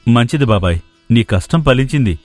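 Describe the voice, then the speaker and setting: A man's voice: mid-range voice, animated, a little fast, darkish tone, dry recording, lightly acted. Man acting a casual young village schemer, music behind. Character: lazy, scheming young male villager, cocky sidekick.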